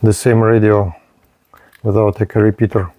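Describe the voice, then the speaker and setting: A man narrating a hobby field test vlog step by step in halting, short, repetitive phrases. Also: fairly low pitch, little pitch movement, warm tone, a little hiss or hum. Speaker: radio hobbyist presenting a range test.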